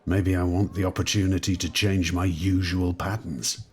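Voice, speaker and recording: An actor playing a reserved, polite gentleman doctor, weary and a little hesitant, in an audio drama. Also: low voice, little pitch movement, moderate pace, husky. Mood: guarded, gentle.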